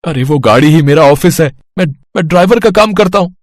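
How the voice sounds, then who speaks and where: A man's voice: on the high side, expressive, a little fast, no echo, clean studio sound, natural voice. A man playing a hapless, anxious young husband in an audio story, pleading and fretful. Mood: despairing.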